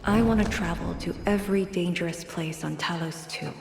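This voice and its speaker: Low, creaky, composed woman warrior. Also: normal speed, darkish tone, slight background noise. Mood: reflective. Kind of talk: video game voice acting.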